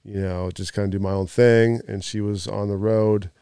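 A man in his thirties, a podcast guest, telling stories in an animated, laughing way during casual chat. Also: on the low side, normal speed, crowd noise. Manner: animated storyteller, laughs mid-story. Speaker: podcast guest, former athlete.

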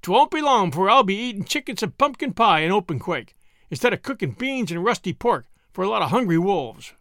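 Man doing a sarcastic, grumbling young cook, high and swinging. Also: sing-song, a little fast, a bit bright, no room sound, studio quality, full character voice. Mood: sneering. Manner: snarling, sarcastic. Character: grumbling young male camp cook, sulky and defiant.

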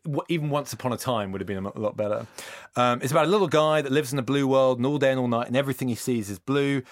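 A man's voice: medium pitch, quick pace, no echo. A man, a podcast guest, talking in a dry, sardonic, scathing way in casual chat.

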